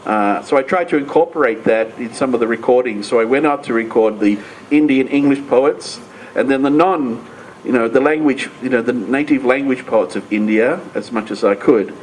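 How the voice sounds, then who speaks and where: A man's voice: medium pitch, normal speed, darkish tone, a little hiss or hum, low-quality recording. An older man from Australia, speaking on a panel at a book launch, hesitant and full of fillers. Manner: hesitant, many fillers and restarts. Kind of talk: public panel talk.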